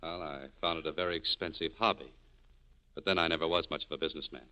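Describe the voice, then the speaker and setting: A very low, hard-edged male voice plays a jaded saloon keeper, guarded and bitter. He speaks in terse, sardonic lines in the manner of 1940s radio drama, on a dull vintage broadcast recording. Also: fairly even intonation, fast talker, pushed and bright. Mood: cool, unyielding.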